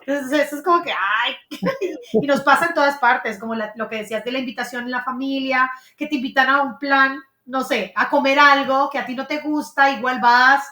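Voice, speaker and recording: A woman's voice: high voice, moderate pace, sharp tone, reverberant. A woman hosting a casual podcast chat, talking freely with many restarts and searching for words. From Colombia. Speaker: podcast host. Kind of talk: casual conversation.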